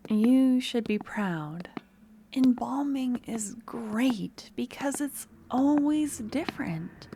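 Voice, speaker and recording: A woman playing a sweet but obsessive young student stalker in an ASMR audio roleplay, soft, coaxing and a little awkward as she talks to the listener. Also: fairly high pitch, slight background noise. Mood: soft, fascinated.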